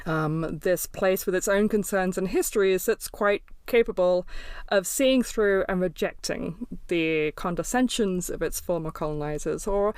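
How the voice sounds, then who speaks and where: A woman's voice: on the low side, smooth, dry recording. A woman hosting a podcast, talking in a reflective, candid way with frequent ums and restarts. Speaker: podcast host. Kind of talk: podcast intro and interview.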